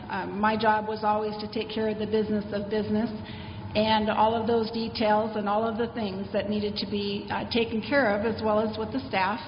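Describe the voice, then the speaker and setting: Eager woman candidate in a noisy hall. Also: mid-range voice. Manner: eager, steady. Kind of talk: opening statement at a candidate forum. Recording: echoey hall, dull sound, noisy.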